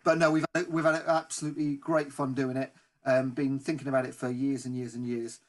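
A man's voice: medium pitch, flat delivery, a little fast. A man co-hosting a football podcast, speaking in a casual, conversational way. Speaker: podcast co-host. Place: remote online recording.

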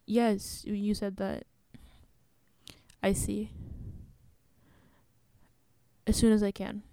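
A woman acting in a radio play as a guarded genocide survivor and refugee, speaking in a measured, grave and earnest way. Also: mid-range voice, little pitch movement, vocal fry, dark tone, clear, resonant tone, no room sound. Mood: flustered, urgent.

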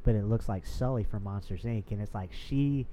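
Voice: mid-range voice, moderate pace, warm tone. A young adult chatting casually and loosely, with plenty of filler words. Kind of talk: casual chat. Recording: slightly dull, faint background noise.

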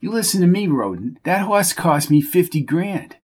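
A man voicing a hot-tempered male racehorse owner who talks gruffly and bosses people around. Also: high voice, lively intonation, moderate pace, pitched above the performer's own voice. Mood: threatening. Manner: gruff, bossy, threatening.

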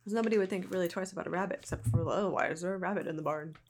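A young woman co-hosting a podcast who speaks quietly in a dry, laid-back way. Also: on the low side, very fast. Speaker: podcast co-host. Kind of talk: casual chat.

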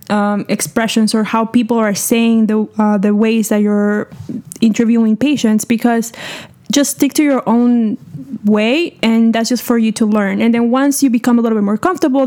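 A woman's voice: a little high, darkish tone. A young woman from Peru with a Peruvian Spanish accent, a native Spanish speaker co-hosting a casual podcast in earnest, explanatory tones. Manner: earnest, explanatory, many fillers. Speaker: podcast co-host, medical applicant.

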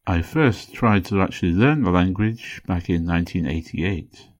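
A man from England hosting a podcast, explaining points one by one in a measured, explanatory way. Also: low voice, animated, a little mellow. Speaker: podcast host. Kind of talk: solo educational podcast talk.

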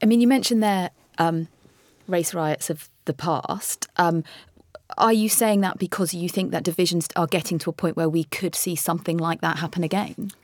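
A woman hosting a panel discussion, asking probing questions in a halting way. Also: fairly low pitch, fairly even intonation, dry recording. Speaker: host. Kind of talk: interviewing a panel.

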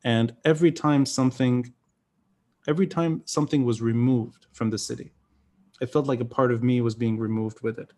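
A man's voice: medium pitch, steady tone, moderate pace, mellow. A man who grew up in Beirut, hosting a podcast interview in an earnest, searching way and often correcting himself. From Lebanon (Beirut). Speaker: podcast host. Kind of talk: conversational interview.

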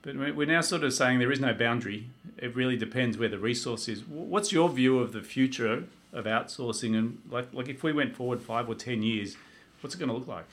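A man's voice: mid-range voice, fairly quick, fairly bright tone. A man hosting a webinar, talking in an informal, discursive and conversational way. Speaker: host of online panel.

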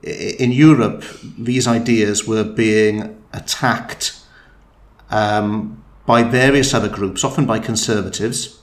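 A man's voice: lowish voice, fairly even intonation. A man in a conversational discussion, thinking aloud with frequent restarts and fillers. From Wales. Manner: thoughtful, halting, many restarts and fillers. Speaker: interviewee.

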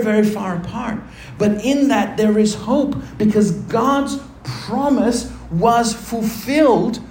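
A man preaching a sermon to his congregation, throwing rhetorical questions at them and shifting between quick asides and slow, low, weighty phrases. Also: a little mellow, reverberant, a little hiss or hum. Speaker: pastor.

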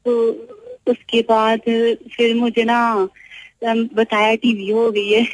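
Hesitant young woman caller, flat voice. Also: on the high side, no echo, low-quality recording. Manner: hesitant, many fillers, halting. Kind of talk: telling a personal story on air.

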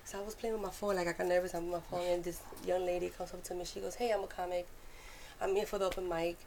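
A woman from New York with a Puerto Rican Bronx accent, a comedian guest chatting fast and animatedly on a podcast. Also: fairly low pitch, slight background noise. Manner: fast-talking, animated, laughs often. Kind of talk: casual podcast chat.

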